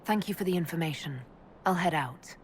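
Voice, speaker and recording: A woman voicing a calm, self-assured elf mage leader in a video game, speaking in a measured way. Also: fairly low pitch, a little hiss or hum, natural voice. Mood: businesslike. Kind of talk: video game dialogue acting.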